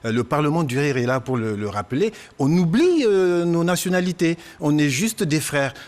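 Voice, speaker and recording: Brief: young man from Niger, earnest, halting, studio interview guest. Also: mid-range voice. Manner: hesitant, many fillers and restarts, earnest. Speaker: comedian guest.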